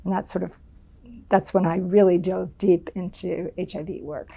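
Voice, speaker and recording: Interview subject: middle-aged woman from the US, low gravelly voice, halting and thoughtful. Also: little pitch movement, warm tone. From United States. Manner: thoughtful, many ums and restarts. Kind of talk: oral history interview.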